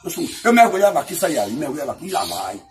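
A man with a Brazilian accent telling a comic anecdote in casual chat, excitable and repetitive, acting out sound effects and dialogue. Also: on the high side, rapid-fire, a crowd in the background. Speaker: comic storyteller.

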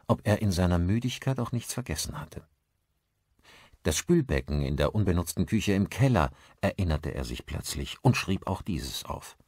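A man narrates a suspense audiobook in a low register. His intonation is lively and varied, following the scripted prose. The recording is dry, with no room around the voice. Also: no room sound.

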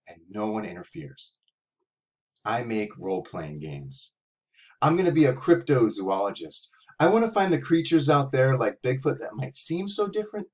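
A middle-aged man reading a verse novel in the words of a lonely, self-conscious schoolboy, in a measured, reflective way. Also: medium pitch, lightly acted. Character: self-conscious, lonely, imaginative seventh-grade boy narrator. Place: small room at home, indoors.

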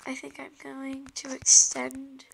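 A woman's voice: high voice, monotone, slow, vocal fry. A woman with a sore, scratchy throat, chatting through a game in a hesitant way with many ums, sometimes dropping to a whisper. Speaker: gameplay commentator. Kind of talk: casual game commentary. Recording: loud background hiss with tapping clicks.

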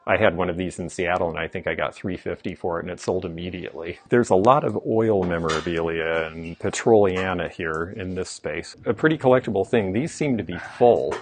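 A man's voice: sits low, brisk, few pauses, a little hiss or hum. A middle-aged man and antique dealer hosting a casual vlog, browsing a large indoor antique mall and explaining the items as he goes. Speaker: antique dealer and show host.

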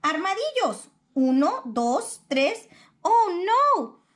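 A watchful armadillo mother from a storybook, played by a woman reader. Her voice is high. She questions and exclaims as she keeps track of her children. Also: big pitch swings, drawn-out words, heavily acted. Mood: alert, dismayed.

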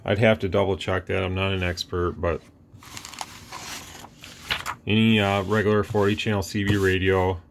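A man casually explaining a radio on his workbench, relaxed and rambling with fillers and restarts. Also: low-pitched, normal speed, long pauses, background noise. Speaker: radio reviewer. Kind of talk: casual product demo. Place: workbench room with radio gear.

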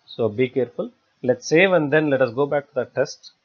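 A man giving a step-by-step software tutorial in a halting, repetitive way. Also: medium pitch. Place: voice-over for screen recording. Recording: dull, lo-fi screencast audio.

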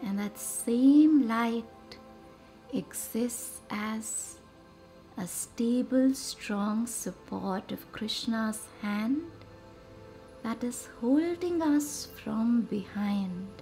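A woman leads a guided meditation in short, soothing, measured phrases with reverent pauses. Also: a little high, dark tone, rough recording. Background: music.

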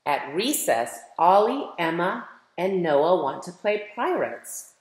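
A middle-aged American woman reads to children in a slightly low voice. Her pace is slow and deliberate, with expressive emphasis and direct questions to her young audience. The recording has the clear echo of a room at home. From United States. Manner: animated, emphatic storytelling, addressing young listeners directly. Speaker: children's author reading aloud. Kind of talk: picture-book read-aloud for children, with chatty asides. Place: medium living room at home, indoors. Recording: roomy, echoey home recording.

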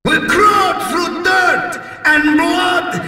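An actor voicing a fierce Russian soldier prisoner leading a revolt in a video game, with a gravelly voice and emphatic, rousing delivery. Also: male voice, very high voice, steady tone, slow, bright, forceful tone, heavy reverb, big-room sound, distant mic, full character voice. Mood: rousing, defiant.

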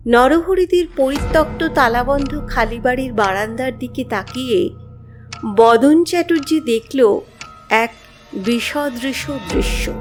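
Lady from reading a spooky story, really slow n expressive, high voice. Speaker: audiobook narrator. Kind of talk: dramatic scripted horror story narration.

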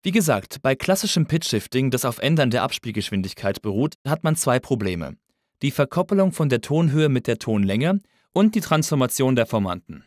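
A man reading a scripted tutorial as an instructor, in a measured, didactic manner. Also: mid-range voice, big pitch swings, darkish tone, dry recording, clean studio sound. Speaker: audio engineering instructor. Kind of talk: scripted lesson narration.

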